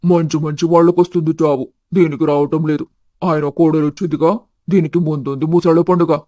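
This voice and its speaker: A man voicing a poor, humble elderly debtor in an animated moral story, with a deferential manner. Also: fairly high pitch, fairly quick, no echo, studio quality, close to an everyday voice. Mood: bitter.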